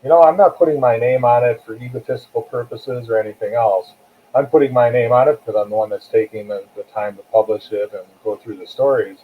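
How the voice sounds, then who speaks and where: A man's voice: mid-range voice, steady tone, quick pace, slight background noise. A man speaking as an interview guest and author, conversational, plain-spoken and earnest. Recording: muffled, phone-like narrow sound.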